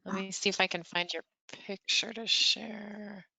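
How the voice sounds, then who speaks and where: Bright-voiced woman running a meeting. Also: medium pitch, steady tone, vocal fry, poor audio. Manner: brisk, short prompts. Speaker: meeting facilitator. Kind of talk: meeting chat. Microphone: video call.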